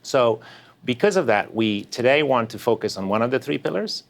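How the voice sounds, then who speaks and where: A man hosting a podcast interview, explaining in a measured way with frequent pauses and restarts. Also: lowish voice. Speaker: podcast host.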